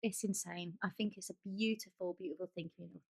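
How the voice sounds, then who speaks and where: A woman's voice: lowish voice, fairly even intonation, a little fast, gravelly, a little mellow, slightly rough, no echo. A woman co-hosting a podcast in casual chat, gushing and emphatic, often repeating herself for stress. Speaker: podcast co-host.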